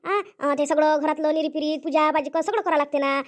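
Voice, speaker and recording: A woman's voice: high-pitched, fairly even intonation, fast talker, close to an everyday voice. A woman voicing a bossy, chattering village woman in a comedy cartoon, scolding in a colloquial Varhadi accent from Vidarbha. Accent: Varhadi Marathi from Vidarbha. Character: bossy, chattering village women of a wedding household.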